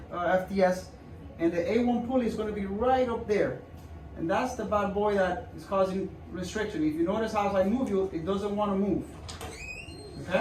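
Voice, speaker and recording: High-pitched male doctor in a roomy clinic. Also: darkish tone. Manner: informal, reassuring, repeats himself while demonstrating. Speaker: doctor performing a hand procedure. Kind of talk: casual procedure walkthrough to patient and viewers. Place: indoor clinic room. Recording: roomy, distant mic with background noise.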